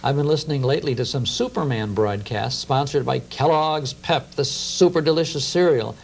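A man's voice: mid-range voice, normal speed, gravelly, breathy edge. A middle-aged American man talking conversationally as an interview guest, reminiscing in a studio. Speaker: guest, radio show host. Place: TV studio at a round table. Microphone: lapel. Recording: faint hum and hiss, old TV tape.

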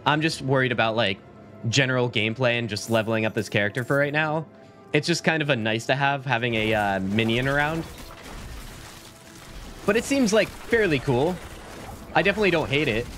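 A man in his thirties streaming a game from a small home room on a desk mic, chatting casually and rambling, his voice a little deeper from being sick. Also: lively intonation, fairly quick, pauses often, a bit bright. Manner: laid-back, rambling, says "like" and "dude" a lot. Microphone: studio mic at desk, webcam framing. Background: game music.

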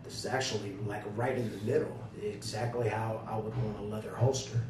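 A slightly hoarse man giving a casual, folksy product review straight to his viewers. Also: on the low side, fairly even intonation, a little fast. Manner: folksy, colloquial, addressing viewers directly. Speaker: product reviewer, channel host. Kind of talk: casual chatty holster video review. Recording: roomy, distant mic with loud background noise.

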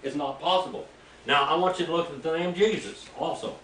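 An older man leads a Bible class with a slightly high voice, often asking his listeners questions as he reads scripture. The sound comes from a distance in an echoey room. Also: brisk, fairly bright tone, a little hiss or hum. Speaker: preacher teaching a Bible class. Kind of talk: Bible teaching lecture. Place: small church room, indoors. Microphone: room mic from a distance.

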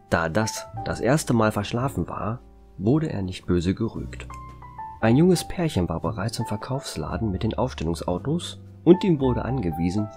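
Low, lively male storyteller. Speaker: narrator and author. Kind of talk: scripted storytelling narration.

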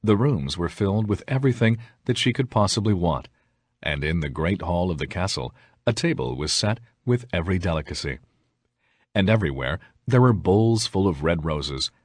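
The low, grainy man's voice you'd hear on a bedtime audiobook of old fairy tales. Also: lively intonation, normal speed, slightly rough, no echo. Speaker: storyteller. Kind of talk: scripted fairy tale narration.

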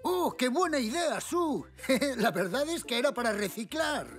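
A man voicing a kindly, helpful older grandfather zebra in a cartoon, gentle and encouraging. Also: very high voice, expressive, a little slow, faint music behind. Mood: amused. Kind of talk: cartoon dub acting.

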